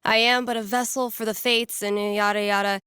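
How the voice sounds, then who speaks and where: A woman's voice: fairly high pitch, little pitch movement, a little fast, fairly bright tone, clear, resonant tone, dry recording, studio quality, close to an everyday voice. A woman playing a wry young radio DJ and playful fortune-teller in a video game, speaking in a casual, teasing storyteller's way. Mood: wry storytelling. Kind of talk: video game voice acting, conversational second-person storytelling.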